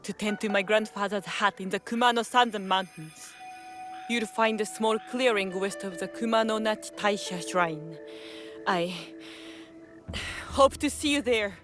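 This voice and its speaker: Actress as young village woman, guilt-ridden, earnest, hesitant, slow delivery, mid pitch, game cutscene, clear background music. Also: lightly acted. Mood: resolute. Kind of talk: dramatic game cutscene acting. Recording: prominent music behind the voice.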